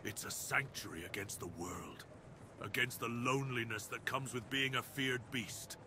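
A man playing a brooding, cursed dire wolf master in an ASMR roleplay, speaking in a solemn, deliberate, theatrical way with formal wording. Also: medium pitch, noisy background, lightly acted. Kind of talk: ASMR roleplay, dramatic monologue.

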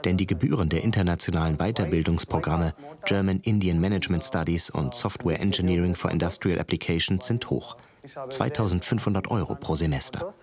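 A man's voice: deep voice, brisk, a crowd in the background. A man reading scripted narration for a television documentary. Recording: music behind the voice. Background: background music.

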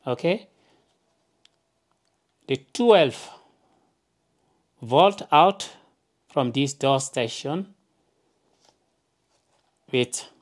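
A woman presenting a hands-on tutorial, explaining simply step by step and often checking in with an okay. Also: sits low. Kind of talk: hands-on instructional narration. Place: indoors, at a wooden work table.

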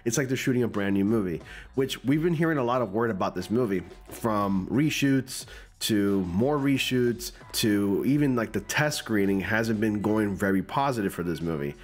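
A man's voice: on the low side, lively intonation, moderate pace. A man in his forties with a stuffy, cold-clogged voice, casually commenting on movie news and reading an article aloud, close to a studio mic in a small room at home. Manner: conversational, reads news text aloud with asides. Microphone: large studio mic close in front.

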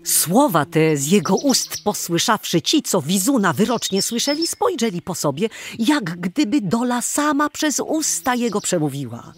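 A woman's voice: mid-range voice, very animated, moderate pace, hardly any pauses, slightly rough, dry recording. A woman narrating a radio drama in a dramatic, literary storytelling manner.

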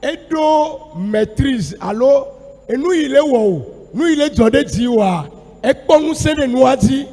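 A man with a high but mellow voice preaches at an unhurried pace, letting his phrases swell into shouts. He speaks through a handheld mic, and a long reverb hangs behind him in the large church hall. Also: animated. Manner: measured preaching that builds into shouts. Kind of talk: sermon delivered in two languages, line by line. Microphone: handheld microphone.

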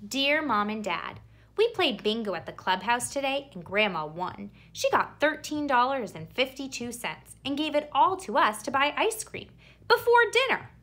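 A woman reading a children's picture book aloud expressively, with theatrical emphasis and drawn-out words. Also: sits high, sing-song, a bit bright. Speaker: read-aloud storyteller.

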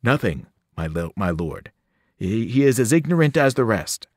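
A man's voice: fairly low pitch, big pitch swings, moderate pace, a little mellow, dry recording, clean studio sound. A man voicing a nervous invisible male servant who grovels and stammers before his master. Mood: fawning.

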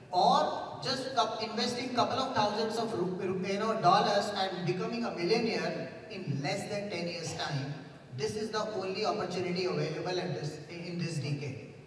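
A man in his thirties speaking on a conference panel into a handheld mic, giving earnest advice. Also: sits high. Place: large conference hall stage. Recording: echoey hall from far off.

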